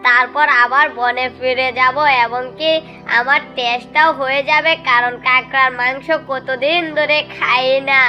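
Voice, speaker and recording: A proud, generous jackal from a children's storybook cartoon, voiced by a woman. The voice is high and bright with a casual, self-satisfied air. Light music sits underneath. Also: fairly even intonation, a little slow, lo-fi sound. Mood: craving, eager. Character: fruit-loving, proud but generous jackal orchard owner. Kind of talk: amateur storybook cartoon voicing.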